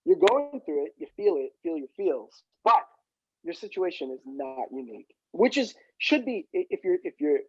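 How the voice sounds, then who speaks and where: A man's voice: fairly high pitch, sing-song, moderate pace, breathy edge, no echo, dull audio. A man whose first language is Punjabi, a guest on a podcast who talks in a wry, joking way and swears casually. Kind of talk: casual chat.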